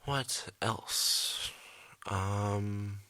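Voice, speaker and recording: A young man hosting his art channel, rambling through a late-night voiceover with lots of ums, speaking quietly and a little raspy. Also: on the low side, fairly even intonation, very slow, dry recording. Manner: rambling, many ums, trailing off. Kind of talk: casual voiceover over a speed drawing. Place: his bedroom at home, at night.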